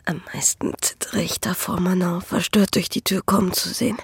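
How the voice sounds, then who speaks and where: A woman's voice: on the low side, steady tone, normal speed, vocal fry, breathy edge, dry recording, exaggerated voice. An actress playing a dying young peasant woman in a radio play, sorrowful and bitter, with a weak, whispery voice and solemn delivery. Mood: weak, fearful. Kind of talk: German radio play acting.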